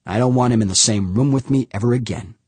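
A man's voice: mid-range voice, fast, a little mellow, husky, no room sound, lightly acted. A man voicing a shaken male executive who gives terse, clipped orders. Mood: grim.

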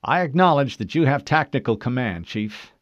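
A man's voice: medium pitch, expressive, normal speed, dry recording, highs cut off, pitched above the performer's own voice, lightly acted. A man voicing an officious, assertive male navy lieutenant in an audiobook, speaking formally and insistently. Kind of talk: audiobook character voice.

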